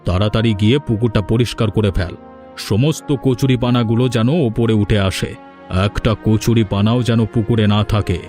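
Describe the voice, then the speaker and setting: A man's voice: fairly low pitch, steady tone, a little fast, a little mellow, soft background music, natural voice. A man voicing a stern, bossy village man in a cartoon, scolding and giving orders. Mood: stern, threatening. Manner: scolding, commanding. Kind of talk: Bengali cartoon dialogue.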